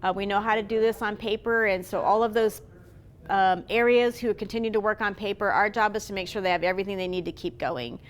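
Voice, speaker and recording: Female hospital spokesperson, medium-pitched, explanatory interview delivery. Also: fairly quick.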